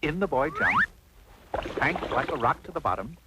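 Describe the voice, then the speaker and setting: A man's voice: on the high side, wildly swooping pitch, moderate pace, heavy background noise. A man playing an arch, theatrical storybook narrator, reading a comic fairy tale on an old cartoon soundtrack. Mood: wry, brisk. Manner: arch, dramatic.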